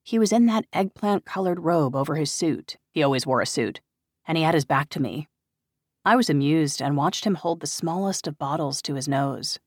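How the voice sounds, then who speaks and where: A woman's voice: deep voice, animated, moderate pace, dry recording. Woman audiobook narrator, very dry studio sound.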